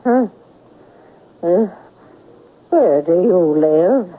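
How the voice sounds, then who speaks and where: An actress in a 1970s radio drama playing a blunt but kindly shopkeeper with a husky voice and an insistent, questioning manner. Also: female voice, lowish voice, animated, drawn-out words, heavily acted. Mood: blunt, urging. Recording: tinny 1970s radio broadcast.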